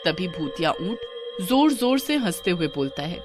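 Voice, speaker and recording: A woman's voice: fairly low pitch, big pitch swings, normal speed, music in the background. A woman giving scripted storytelling narration as the narrator of a tale.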